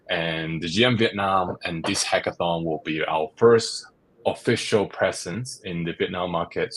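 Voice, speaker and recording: A man's voice: low-pitched, fairly bright tone. A man working in business development, introducing himself and his company at an online event. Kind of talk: self-introduction.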